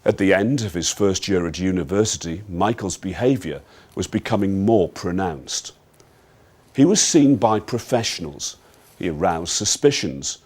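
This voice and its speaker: Low-voiced male narrator. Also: expressive, normal speed, a little mellow, slightly rough. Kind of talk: scripted news-report narration of dramatised film.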